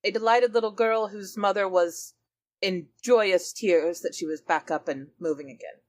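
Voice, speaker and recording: Woman player with a mid-pitched voice. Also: moderate pace, darkish tone. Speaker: tabletop game player. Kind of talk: casual improvised role-play.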